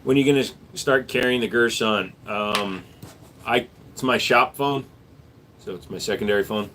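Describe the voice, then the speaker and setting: A man who runs a gun shop, hosting a casual livestream where he reads viewer messages aloud and answers them in an offhand, relaxed way. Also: fairly low pitch, moderate pace, slight background noise.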